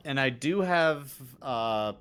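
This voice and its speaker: A man's voice: mid-range voice, lively intonation, slow, fairly bright tone, smooth. A man chatting casually as a player in an online tabletop roleplay game.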